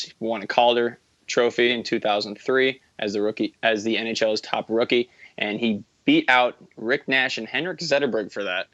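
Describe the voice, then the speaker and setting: A man's voice: lowish voice, sharp tone, dry recording, highs cut off. A man in his early twenties co-hosting a casual sports podcast, talking in a rambling, self-correcting, enthusiastic way. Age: early 20s. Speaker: podcast co-host.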